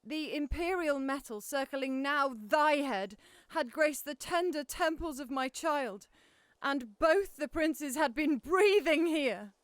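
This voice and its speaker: Brief: a woman as a defiant grieving queen, high voice, slow cutting retorts, dry studio sound. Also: expressive, no room sound. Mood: scornful. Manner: sharp, scornful retorts. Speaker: actor in audio drama. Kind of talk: Shakespearean audio drama acting.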